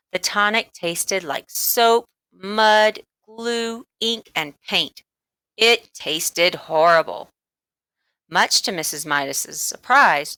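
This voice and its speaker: A woman reading a children's book aloud as expressive, deliberate scripted narration. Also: lowish voice, no room sound. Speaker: storybook reader.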